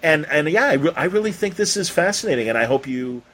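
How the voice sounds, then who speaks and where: A man hosting a casual podcast interview, talking in an effusive, rambling, halting way with some mild swearing. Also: on the high side, animated, a bit bright. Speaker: podcast host interviewing a guest.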